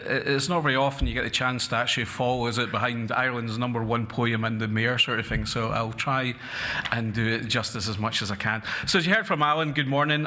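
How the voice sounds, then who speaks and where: Bright-voiced Scottish man at a lectern. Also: mid-range voice. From Scotland. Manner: earnest, with frequent fillers and restarts. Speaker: conference speaker. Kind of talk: conference keynote talk. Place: conference hall. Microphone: lectern mic.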